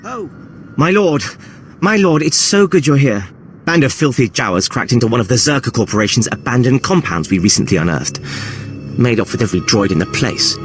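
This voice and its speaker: A man's voice: mid-range voice, sing-song, a little fast, natural voice. Nervous official, male, acted: deferential, agitated, swooping intonation; game cutscene with light music. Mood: flustered, relieved. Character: flustered, fawning official pleading with superiors. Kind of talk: video game cutscene acting.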